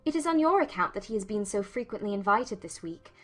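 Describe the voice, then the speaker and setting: A woman playing a witty, spirited young gentlewoman in an audiobook drama, expressive and articulate, teasing yet sincere. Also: mid-range voice, quick pace, soft background music. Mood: conceding, sincere.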